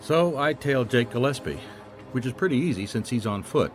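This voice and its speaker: An actor playing a wry, dogged private detective in a radio drama, narrating and speaking in a dry, understated way. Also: male voice, mid-range voice, animated, normal speed, natural voice. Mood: wry narration.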